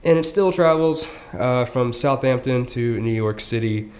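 A man's voice: mid-range voice, darkish tone. Easygoing young man explaining casually. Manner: conversational, many fillers, quick asides. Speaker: podcast co-host.

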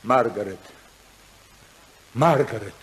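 An actor playing a shaken, commanding magnate father in a 1950s radio drama, halting and repeating his words. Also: on the low side, fairly bright tone. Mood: shaken, doubting. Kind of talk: 1950s Russian radio play acting.

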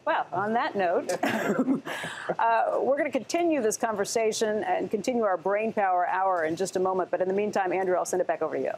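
A middle-aged woman interviewing guests for TV news, brisk and steering the talk. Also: medium pitch, a little mellow, a little hiss or hum. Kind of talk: broadcast interview questions. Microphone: lapel mic.

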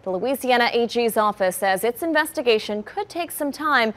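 A woman's voice: on the high side, expressive, normal speed, fairly bright tone. Woman reporter asking direct questions. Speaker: interviewing reporter. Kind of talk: news interview and anchor close.

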